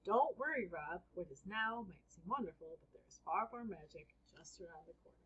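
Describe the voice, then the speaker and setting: A woman's voice: on the low side, expressive, normal speed, fairly bright tone, breathy edge, muffled recording. A woman voicing a small, earnest, determined male toy sage with solemn, cryptic delivery in a storybook reading. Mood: solemn, reassuring.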